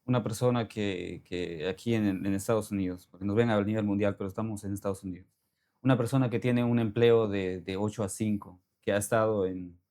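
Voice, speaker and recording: A man's voice: on the low side, a little mellow. Spanish-speaking man hosting a talk show. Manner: asks long, winding questions. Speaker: host. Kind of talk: conversational interview.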